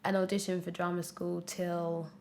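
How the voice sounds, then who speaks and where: A woman's voice: lowish voice, normal speed, creaky. A young British woman telling anecdotes casually in an interview, chatty and giggly, often acting out what was said. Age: young adult. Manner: chatty, animated storytelling with self-quotes and giggles. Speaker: actor, podcast guest.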